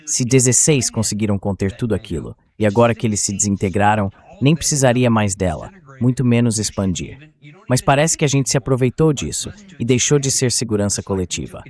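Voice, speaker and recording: A man's voice: on the low side, animated, a little fast, darkish tone. A synthetic man's voice in a translated voice-over, giving explanatory political commentary as an expert guest would.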